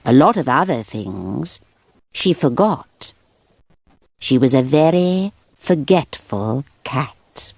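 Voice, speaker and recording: A woman narrating a children's picture book aloud in an expressive storytelling manner. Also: rumbling low, takes it slowly, creaky, a little hiss or hum.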